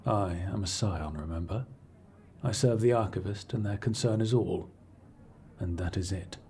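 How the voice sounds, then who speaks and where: A man playing a cool, imperious scholar-agent in a fantasy audio roleplay, speaking in a formal, measured, dryly condescending way. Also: low voice, a little mellow, slight background noise, lightly acted. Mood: detached, solemn. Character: cool, imperious male scholar-agent serving an arcane archivist patron. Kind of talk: scripted audio roleplay acting, addressing the listener.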